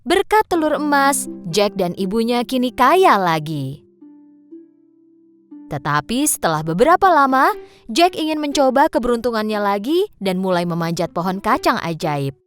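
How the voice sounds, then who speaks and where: A woman's voice: on the high side, very animated, moderate pace, soft background music. A woman with an Indonesian accent narrating a scripted children's story as the storyteller.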